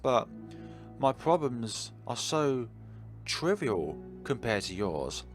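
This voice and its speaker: A man voicing an earnest teenage girl club president in a visual novel, anxious and quick to blame herself. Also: mid-range voice, big pitch swings, soft background music. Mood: guilty. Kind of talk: visual novel character read-aloud.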